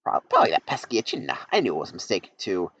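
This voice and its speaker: A man's voice: on the low side, huge pitch swings, rapid-fire, a bit bright, husky, dry recording, heavily acted. A man voicing a pompous, scheming male mad-scientist villain, in a dull lo-fi recording. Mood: gloating. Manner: pompous, gloating.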